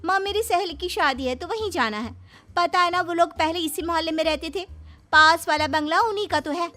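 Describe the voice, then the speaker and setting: A woman voicing a hopeful, excitable young woman, a maid's daughter, in a girlish, coy and eager cartoon manner. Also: extremely high pitch, brisk, faint music behind. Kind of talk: Hindi moral-story cartoon dialogue.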